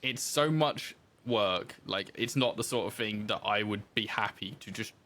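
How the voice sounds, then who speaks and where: Bright-voiced man, low pitch, chatting informally. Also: unhurried. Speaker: interview guest, game designer and podcaster. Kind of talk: casual conversational interview.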